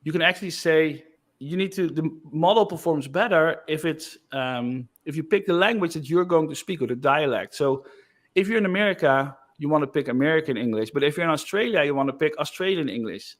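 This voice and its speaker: Male presenter, slightly high voice, relaxed, casual walkthrough of a software feature. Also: brisk. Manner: loose and chatty, frequent "like" and "uh" fillers. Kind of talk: casual screen-share product demo.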